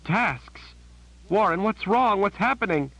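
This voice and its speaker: A man voicing an ancient, resolute sorceress sister who speaks urgently and often hushed. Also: medium pitch, big pitch swings, moderate pace, husky, dry recording, voice pushed up, low-quality recording. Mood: alarmed. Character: resolute sorceress sister, 156 years old.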